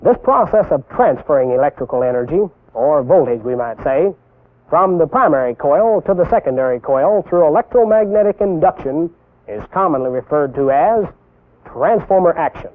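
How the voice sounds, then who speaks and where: Young american guy doing a scripted 60s training film, kinda high voice, teachy and deliberate, tinny old soundtrack. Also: expressive. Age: young adult. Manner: didactic, deliberate, poses rhetorical questions. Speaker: military instructor presenting a training film. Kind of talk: scripted instructional narration. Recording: tinny band-limited 1960s film soundtrack.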